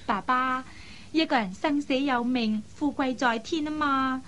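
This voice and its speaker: A woman's voice: sits high, a little slow, a bit bright. A woman playing a playful, headstrong young air hostess in a Cantonese radio drama, pouty and teasing. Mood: breezy, defiant. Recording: faint background noise.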